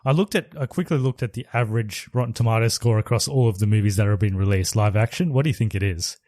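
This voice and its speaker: A man's voice: on the low side, fast, darkish tone, dry recording, clean studio sound. A man co-hosting a podcast in casual chat, explaining things step by step with hesitant restarts. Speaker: podcast co-host.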